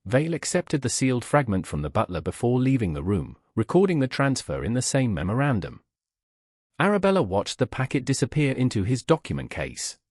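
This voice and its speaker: A man reading historical romance fiction as a measured, formal audiobook narrator. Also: medium pitch, wildly swooping pitch, a little mellow, no echo, clean studio sound.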